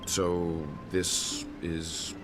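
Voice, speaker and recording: A composed older gentleman traveller from a video game. He is extremely deep and very slow, polite and careful, over clear background music. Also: completely flat delivery. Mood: polite, puzzled. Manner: hesitant, measured, polite.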